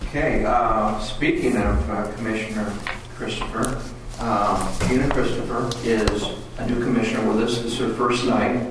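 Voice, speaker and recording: A man chairing a public commission meeting, relaxed and procedural, with many ums and uhs. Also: lowish voice, normal speed, few pauses. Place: council chamber. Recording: echoey room, distant mic, noisy.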